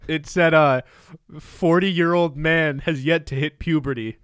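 A man's voice: on the high side, steady tone, measured pace, fairly bright tone, smooth, no echo. A young man co-hosting a podcast in casual chat, rambling and laughing often, close to a studio mic in a small room. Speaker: podcast co-host. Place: small room with posters, indoors. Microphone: studio mic close up, headphones.

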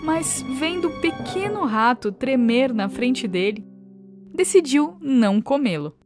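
A woman's voice: high-pitched, lively intonation, measured pace. A woman narrating a scripted children's story. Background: music.

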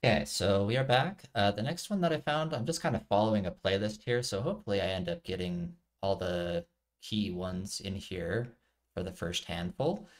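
A man's voice: lowish voice, moderate pace. A middle-aged man giving casual reaction commentary as a video host, musing aloud as he watches. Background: trailer music.

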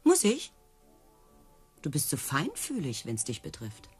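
An actress playing a disillusioned, sarcastic circus trick rider in radio play dialogue, with a husky voice. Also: female voice, sits low, huge pitch swings, measured pace, soft background music, full character voice. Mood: scornful, needling. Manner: sarcastic, needling.

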